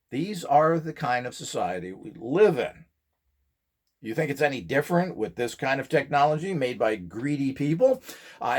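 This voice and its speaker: A man giving an informal, opinionated lecture in a blunt, scornful and emphatic manner, as a presenter selling devices. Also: medium pitch. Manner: rhetorical questions, mimicked quotes. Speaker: presenter and seller of radionics devices.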